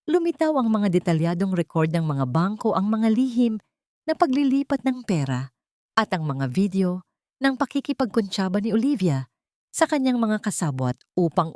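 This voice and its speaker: A woman narrating a scripted story in a solemn, deliberate, dramatic manner. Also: fairly low pitch, a little mellow, dry recording, studio quality.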